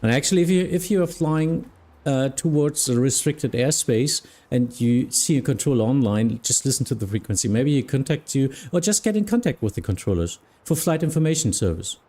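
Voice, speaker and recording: A man from Germany talks in English, his second language, with a clear German accent. His voice sits in a mid pitch with a dark, relaxed tone. He chats loosely with viewers, pausing and restarting as he searches for words. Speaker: flight sim streamer and air traffic control mentor. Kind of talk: casual live-stream chat with viewers.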